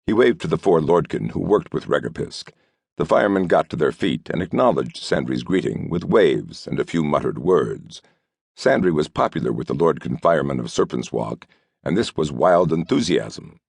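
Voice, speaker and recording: A man narrating an audiobook novel in a scripted storytelling style, with a gravelly voice. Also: extremely low pitch, animated, moderate pace, darkish tone, no echo.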